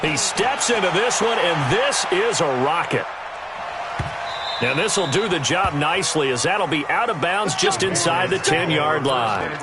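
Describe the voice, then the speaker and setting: A man with an American accent playing a polished play-by-play announcer, calling a football video game in crisp, emphatic TV style. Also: a little high, expressive, unhurried. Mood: emphatic, engaged. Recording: loud stadium crowd noise behind. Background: stadium crowd, game noise.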